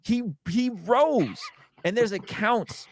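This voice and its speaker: A man's voice: very high voice, animated, takes it slowly, no echo, clean studio sound. A man speaking as a religious apologist in impassioned conversational preaching, repeating phrases for weight.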